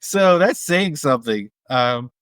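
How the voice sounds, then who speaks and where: A man co-hosting a casual film discussion, speaking in a measured, appreciative and somewhat halting way. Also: medium pitch, expressive, a bit bright, no echo. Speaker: podcast co-host.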